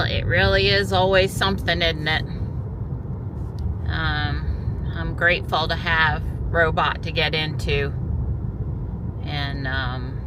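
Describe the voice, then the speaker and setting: A woman's voice: low-pitched, pushed and bright, a little hiss or hum. A middle-aged woman with a stuffy nose chatting casually through her day as a vlogger, in her car and out in the yard. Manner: chatty, talks to herself and pets. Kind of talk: casual vlog narration.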